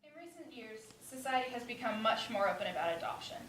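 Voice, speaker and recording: A woman's voice: fairly high pitch, big pitch swings, quick pace, a bit bright. A woman delivering scripted, informational narration on stage. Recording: echoey room, distant mic, faint noise.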